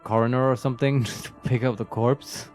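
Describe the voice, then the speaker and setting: A man's voice: medium pitch, fairly even intonation, normal speed, darkish tone, soft background music. A man chatting casually and jokily as he co-hosts a video game playthrough, thinking aloud. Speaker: let's play co-host.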